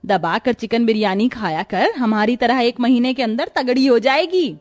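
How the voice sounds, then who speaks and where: A woman voicing a blunt, bossy middle-aged mother-in-law in a cartoon story, loud and teasing. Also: a little high, moderate pace, faint music behind, lightly acted. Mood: brash teasing.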